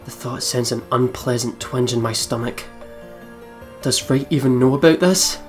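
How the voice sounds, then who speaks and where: A let's play host reading visual novel narration aloud in a dramatic, deliberate way. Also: medium pitch. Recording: music behind the voice. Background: game music.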